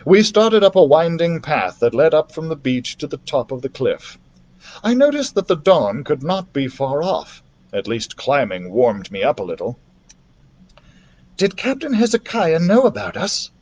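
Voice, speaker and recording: A man reading a children's adventure story aloud as a library storyteller, in a theatrical, expressive manner. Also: mid-range voice, normal speed, muffled recording.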